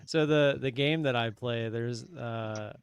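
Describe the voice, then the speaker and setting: A man hosts a live stream in a laid-back, rambling way, laughing easily as he talks. His voice sits at a middling pitch. The sound is dry and close, with no room echo. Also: slow pace, smooth. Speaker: stream host. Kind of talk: casual chat on a live trading stream.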